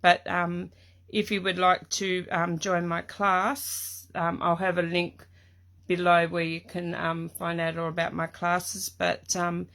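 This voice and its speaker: A hoarse middle-aged Australian woman chats through a live craft stream from her home craft room, greeting viewers by name as she goes. Her voice sits fairly low and carries a gravelly, croaky fry from a lingering cough. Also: level tone, slow pace, fairly bright tone. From Tasmania, Australia. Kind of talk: casual live-stream chat.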